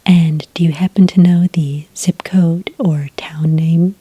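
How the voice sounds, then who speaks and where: A woman playing a calm, polite agent who takes sighting reports in a soft-spoken ASMR roleplay, breathy and whispery, with gentle, patient questioning. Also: low voice, slow, full character voice. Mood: politely inquiring.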